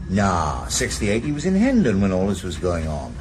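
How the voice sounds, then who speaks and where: An actor playing Morgan, a retired Welsh ex-detective who talks tersely and stubbornly, in a 1980s radio drama. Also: male voice, wildly swooping pitch, normal speed. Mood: brooding. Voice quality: terse, low-key, stubborn. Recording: radio drama with traffic noise.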